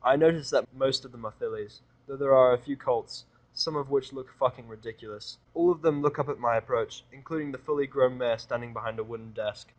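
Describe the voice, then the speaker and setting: A man's voice: mid-range voice, a little fast, hardly any pauses, highs cut off. A man reads a first-person story aloud. His voice sits in a middle register. The narration is informal and a little wry. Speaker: fanfic reader and narrator.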